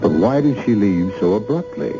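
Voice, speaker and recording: A man narrates an old radio mystery in a low voice, measured and theatrical, building suspense with literary phrasing. Music plays behind him on a slightly dull vintage recording. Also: expressive. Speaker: radio drama host and narrator.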